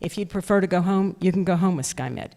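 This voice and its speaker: A woman's voice: fairly low pitch, a little fast, dark tone. Older woman telling a story in a reverberant hall. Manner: chatty storyteller, laughs at her own story. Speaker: club speaker introducing a guest. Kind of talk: casual talk with personal anecdotes. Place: indoor meeting room. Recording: long reverberant room sound.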